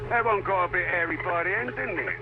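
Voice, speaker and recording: A man's voice: high-pitched, fast, phone-quality sound, close to an everyday voice. Man playing a wry soldier, noisy background. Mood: dry, grudging approval. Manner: casual, dry, wry. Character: wry, world-weary soldier handler in sci-fi game. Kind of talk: video game voice acting.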